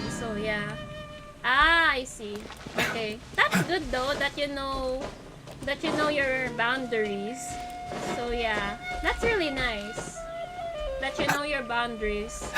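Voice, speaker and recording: Female game streamer, Filipino accent, high voice, unhurried casual chat over loud game music. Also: rough recording. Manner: relaxed, switches between English and Tagalog. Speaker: livestream host playing a video game. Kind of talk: casual livestream chat with viewers. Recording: game music playing loudly behind. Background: loud video game music.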